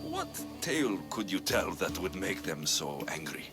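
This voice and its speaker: An actor playing a tough, sardonic young mercenary hero in a video game, speaking in a gruff, dry, blunt manner. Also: male voice, low-pitched, very animated, unhurried. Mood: curious, dry. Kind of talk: video game cutscene acting. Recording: music behind the dialogue.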